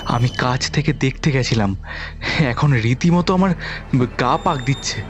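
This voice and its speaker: A man's voice: mid-range voice, expressive, unhurried, natural voice. A man playing a frightened but resolute young man in a horror audio story, urgent and insistent, repeating himself. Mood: shaken. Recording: voice over background music.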